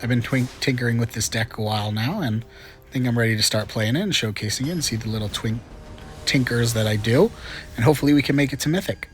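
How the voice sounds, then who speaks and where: A man's voice: mid-range voice, fast talker, soft background music. A chatty, upbeat man hosting casual gameplay commentary with frequent fillers, a little congested from a cold. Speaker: gaming channel host.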